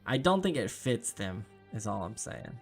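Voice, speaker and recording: A young man, hoarse and losing his voice, chatting casually with viewers while streaming a game. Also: fairly low pitch, big pitch swings, moderate pace, faint music behind. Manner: chatty, talks to viewers by name. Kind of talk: casual live-stream chat.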